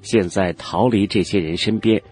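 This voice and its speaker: A man's voice: lowish voice, moderate pace, a little mellow, faint music behind, natural voice. A man playing a cautious, deferential male cultivator in a fantasy audiobook, speaking politely and formally to his senior. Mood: humble, guarded. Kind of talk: Chinese fantasy audiobook character acting.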